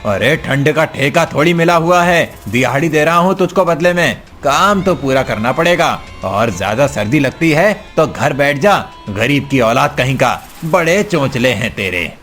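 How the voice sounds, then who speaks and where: A man's voice: medium pitch, animated, moderate pace, hardly any pauses, fairly bright tone, faint music behind, natural voice. A man voicing a greedy, smug, abusive rich boss in a cartoon story, sneering and scolding. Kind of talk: cartoon villain acting.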